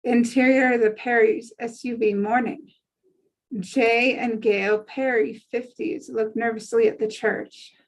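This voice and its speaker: Female script narrator; unhurried, even delivery of stage directions, voice a little high. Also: low-quality recording.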